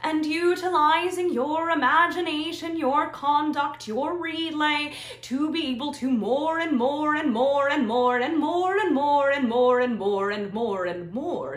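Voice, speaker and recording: A woman voicing a benevolent, serene extraterrestrial guide in a guided meditation, with deliberate, hypnotic, repetitive phrasing and long pauses. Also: high-pitched, exaggerated voice. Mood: gently excited. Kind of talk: slow channeled guided meditation. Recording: roomy echo, soft music behind.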